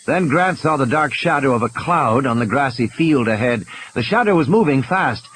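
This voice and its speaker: A man's voice: medium pitch, animated, moderate pace, fairly bright tone, slight background noise. A man giving a dramatic scripted narration of a story as an audiobook narrator. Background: music and sound effects.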